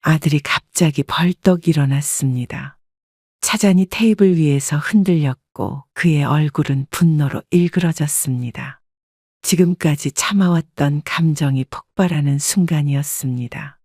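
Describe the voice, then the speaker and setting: Female audiobook narrator, even and measured, dry studio read. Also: low-pitched, no echo. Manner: steady, even-paced storytelling. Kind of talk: scripted first-person story narration.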